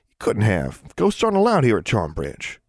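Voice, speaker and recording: A man's voice: on the low side, wildly swooping pitch, normal speed, a little mellow, no echo, studio quality, full character voice. A man voicing a haughty schoolgirl with a matter-of-fact, dismissive manner in a storybook reading. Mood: disbelieving. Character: haughty schoolgirl from an old haunted-mansion family.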